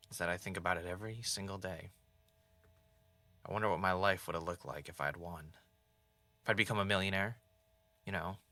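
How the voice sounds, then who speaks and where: Bright, low-pitched man as an actor playing haunted podcaster. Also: no room sound. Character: former reality show contestant turned podcaster, haunted, defensive man. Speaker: podcast host. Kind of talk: naturalistic audio drama dialogue.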